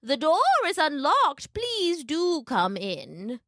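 A woman voicing a sly wolf who impersonates a sick old grandmother, speaking slowly with feigned sweetness. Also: huge pitch swings, fairly bright tone, no room sound, studio quality, heavily acted. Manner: slow, feigned sweetness, wavering pitch.

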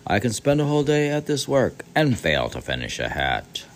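An older man reading aloud and adding rambling, reflective commentary in a halting, musing way, as if talking to himself. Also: mid-range voice, wildly swooping pitch. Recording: faint background noise.

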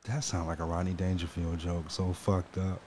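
A man's voice: deep voice, level tone, normal speed, darkish tone, slight background noise. A man co-hosting a homemade radio show, drawling and repeating himself in profane banter. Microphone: studio mic with headphones.

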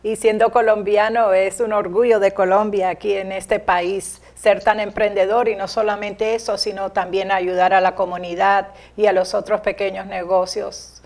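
Woman with flat, even intonation. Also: mid-range voice, moderate pace, few pauses. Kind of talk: conversational remarks.

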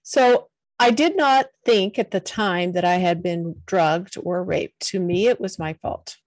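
Middle-aged woman, soft-spoken and earnest, lowish voice, dry booth sound. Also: unhurried, no echo. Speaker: podcast guest, confidence coach. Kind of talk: personal storytelling in an interview.

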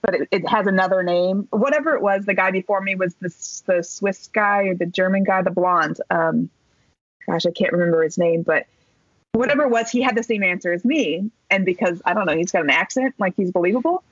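A woman in her thirties telling a story as a podcast guest, talking in a casual, animated way with lots of fillers and the odd swear word. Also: mid-range voice, brisk, no echo. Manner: animated, chatty, many fillers and swear words. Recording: dull dry podcast recording.

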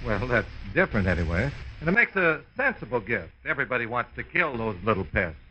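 An actor playing a breezy, practical American friend in an old radio comedy, on a muffled broadcast recording. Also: male voice, mid-range voice, sing-song, fairly quick, sharp tone. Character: breezy, practical, matter-of-fact male friend out Christmas shopping. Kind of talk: 1940s-50s American radio comedy acting.

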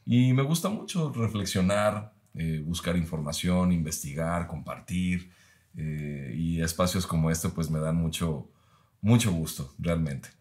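A man, speaking as a podcast guest in a measured, reflective way with frequent pauses. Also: low voice, a little mellow. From Mexico. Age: 30s. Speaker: guest, university professor.

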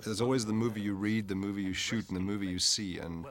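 A man's voice: fairly low pitch, fairly even intonation, quick pace, crowd noise. A man, an actor in the film, chatting casually and jokingly while commenting on it. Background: film soundtrack music.

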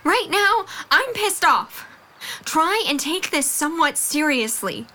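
A woman's voice: high voice, normal speed, pushed and bright. A young woman playing a frank, earnest college student in an audio drama, speaking firmly and with emphasis. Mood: indignant. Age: young adult. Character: frank, earnest female college student, supportive but fed up. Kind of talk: audio drama dialogue acting.

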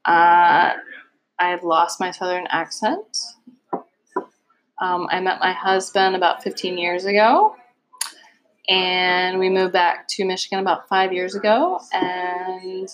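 A woman's voice: on the low side, slow pace, long pauses, poor audio. A woman in her thirties from Virginia, a vegetable farmer, chatting as an interview guest with many ums. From Virginia, USA. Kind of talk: casual interview chat.

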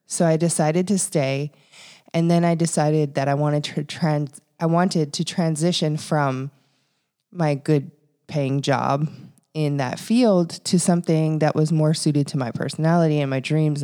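A woman's voice: deep voice, steady tone, a little slow, dark tone. A woman from Canada in her thirties, co-hosting a podcast and chatting casually about her life. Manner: chatty storytelling with fillers and laughs. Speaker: podcast co-host.

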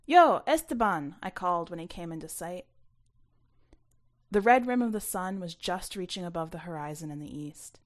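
A woman reading scripted first-person fiction aloud, expressively, with some hushed asides. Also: on the low side, normal speed. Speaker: story reader.

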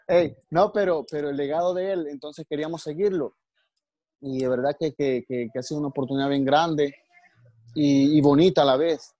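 Earnest Salvadoran man, guest coach; mellow tone, slightly high, dry close sound. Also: fairly even intonation, moderate pace, dry recording, highs cut off. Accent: Salvadoran Spanish. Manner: earnest, many restarts and religious asides. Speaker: guest martial arts coach. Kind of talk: interview.

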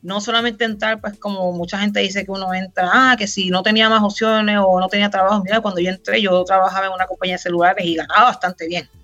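A woman's voice: mid-range voice, fast talker, few pauses. A Puerto Rican woman in her thirties, a podcast guest chatting casually and at length, with many fillers. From Puerto Rico. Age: 30s. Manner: chatty and relaxed, rambling with fillers. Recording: slightly muffled, faint music behind.

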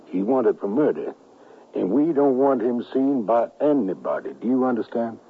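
A stern, suspicious ringleader in a western radio drama, issuing brisk orders. His voice is slightly husky, heard through a very narrow, phone-like old broadcast recording. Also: medium pitch, expressive. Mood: commanding. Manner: brisk, authoritative, giving orders. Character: commanding, suspicious male leader of a Confederate gold conspiracy.

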